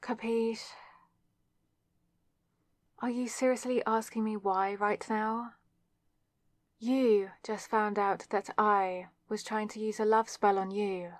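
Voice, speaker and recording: A woman's voice: medium pitch, flat delivery, slow pace, long pauses, no room sound, studio quality, close to an everyday voice. A young woman playing a flustered, awkward student witch with a wry, self-deprecating manner in an ASMR roleplay, speaking straight to the listener. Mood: embarrassed, sarcastic. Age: young adult. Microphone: close microphone in a dry space.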